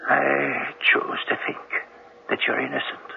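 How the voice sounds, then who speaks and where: A gentle priest who gently counsels a troubled man. His gravelly voice moves expressively as he soothes and questions. The sound is dull and muffled, like an old radio broadcast. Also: medium pitch, very animated, a little slow, slight background noise, exaggerated voice. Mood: consoling.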